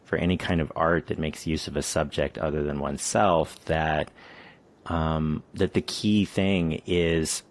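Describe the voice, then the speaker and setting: A man hosting an interview, asking thoughtful, measured questions about poetry. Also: extremely low pitch, fairly even intonation. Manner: thoughtful, measured, frequent "mm-hmm". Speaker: podcast host.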